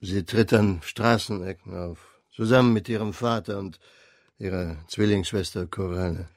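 Chatty male tavern keeper for radio crime drama, fairly low, informal, dry acoustic. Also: steady tone, moderate pace, dry recording. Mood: chatty, informative. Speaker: actor playing an innkeeper.

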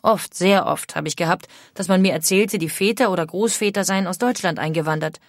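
A woman's voice: lowish voice, a little fast, dry recording, studio quality, natural voice. An actress playing an outspoken, critical emigrant woman of the 1800s, reading her letters home in a formal way. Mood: scornful. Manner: formal, opinionated. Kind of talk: dramatic reading of historical letters.

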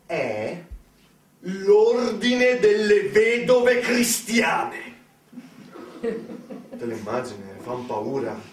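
A man's voice: high voice, sing-song, reverberant. Man, theatrical monologue, asides to listener, distant mic, faint noise. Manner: dramatic pauses, asides to the listener. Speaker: solo stage storyteller. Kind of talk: theatrical monologue narration, conversational.

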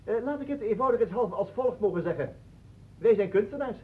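An actor playing a pompous, mocking self-styled ballet master with florid, theatrical delivery in a radio play. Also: male voice, high-pitched, lively intonation, a little fast, breathy edge. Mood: pompous, courtly. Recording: muffled phone-like old radio recording.

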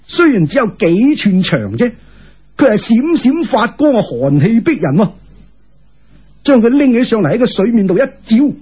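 A man telling a folk tale in Cantonese in a theatrical, colloquial storytelling style with exclamations and drawn-out particles, on a lo-fi recording. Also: sits high, slightly rough.

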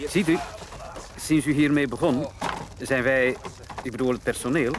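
An actor playing a deferential, hesitant and grateful household servant in a radio drama. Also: male voice, mid-range voice, fairly even intonation, normal speed, lightly acted. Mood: earnest gratitude. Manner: respectful, hesitant, earnest. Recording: loud background noise.

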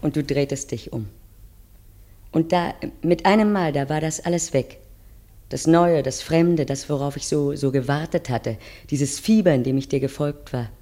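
A woman's voice: low voice, mellow, natural voice. Solemn, wistful woman narrator played by an actress. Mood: wistful, intense. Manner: measured, poetic, solemn. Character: wistful wife looking back, narrating to her husband. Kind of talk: dramatic first-person radio play narration.

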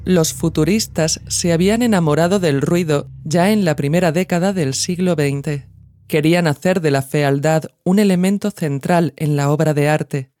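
A woman hosting a podcast, reading a scripted essay in a measured, reflective way. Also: sits low, warm tone, no room sound.

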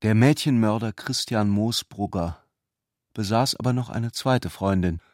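A man's voice: fairly low pitch, measured pace, a little mellow, no echo, clean studio sound. A man narrating a literary radio drama from a script.

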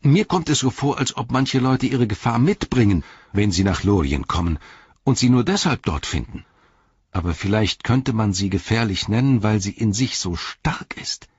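Man as storybook halfling servant, male, earnest, rambling, folksy, deferential, raspy edge, slightly dull sound. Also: lowish voice, lively intonation, moderate pace, no room sound, pitched above the performer's own voice.

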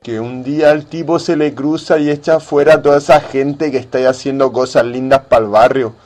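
A man's voice: medium pitch, steady tone, fairly quick, darkish tone. A man speaking colloquially and mock-earnestly as the patient in a satirical radio sketch. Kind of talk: slow, casual satirical sketch dialogue.

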